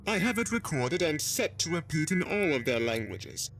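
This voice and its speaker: A man acts out an eager, literal-minded alien officer in an audio drama. His voice is fairly high and animated, with clear music behind it. He reports in an earnest, military way. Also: normal speed. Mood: businesslike. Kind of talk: scripted audio drama acting.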